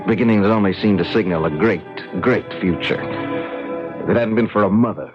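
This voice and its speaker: A man's voice: fairly low pitch, fairly quick, lightly acted. An actor playing an earnest, brash young working-class student who tells his story colloquially in a 1970s radio drama. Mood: rueful narrating. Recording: dull old radio broadcast, music behind.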